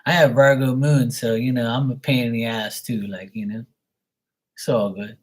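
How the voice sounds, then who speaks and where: A man with a husky voice talking casually on a live tarot reading stream, blunt and opinionated, rambling and swearing freely. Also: medium pitch, steady tone, moderate pace.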